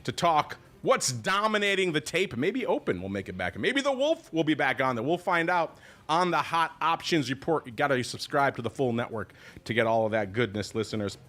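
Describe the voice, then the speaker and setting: A man hosting a podcast, chatting casually and joking around with a deep voice. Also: very animated, moderate pace, pushed and bright. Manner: jokey, rambling. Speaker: podcast host. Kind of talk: casual chat.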